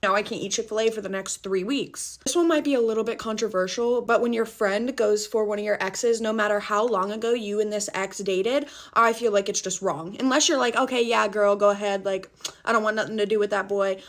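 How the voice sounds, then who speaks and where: A young woman ranting in an animated, exasperated way about her pet peeves, leaning heavily on "like". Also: medium pitch, brisk. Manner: animated, exasperated, heavy use of "like". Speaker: TikTok creator. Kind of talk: get-ready-with-me pet-peeve rant.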